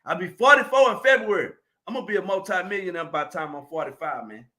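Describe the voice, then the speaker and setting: High bright-voiced preachy man. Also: lively intonation, quick pace. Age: 40s. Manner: preachy, emphatic, often raising his voice. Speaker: motivational speaker. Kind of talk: motivational live stream talk.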